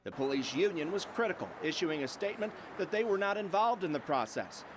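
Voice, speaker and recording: Higher-pitched man reporting TV news. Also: animated, fairly quick, noisy background.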